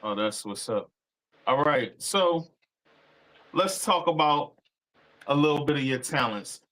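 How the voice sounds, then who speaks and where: A man's voice: fairly high pitch, animated, a little slow, fairly bright tone. Laid-back male podcast host. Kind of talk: interview questions, casual.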